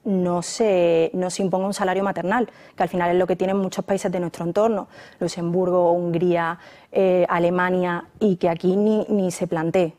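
A woman around thirty, a pregnant journalist, answering interview questions in a thoughtful way, with many pauses and restarts. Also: on the low side, level tone, warm tone. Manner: thoughtful, with frequent fillers and restarts.

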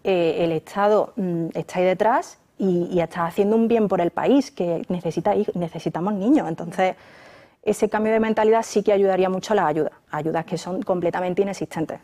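A woman's voice: lowish voice, a little fast, warm tone. A young woman with a Spanish regional accent, a guest talking fluently and with lots of figures in a casual interview. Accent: non-Madrid Spanish regional accent. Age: young adult. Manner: fluent, fact-heavy, frequent fillers.

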